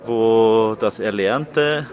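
A woman's voice: extremely low pitch, extremely slow, darkish tone. A woman answers an interviewer briefly. She speaks spontaneously, forming her statement as she goes. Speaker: literature educator.

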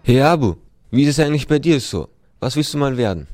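A man's voice: mid-range voice, animated, quick pace, darkish tone, no echo. Curious young man asking questions. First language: Turkish. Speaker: student interviewer. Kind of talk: casual interview.

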